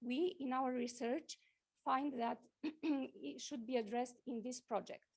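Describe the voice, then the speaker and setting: High-voiced woman presenting at a conference. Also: steady tone, a little mellow, breathy edge. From Romania. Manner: halting, many fillers and restarts, asks for next slide. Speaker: conference speaker. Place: conference hall.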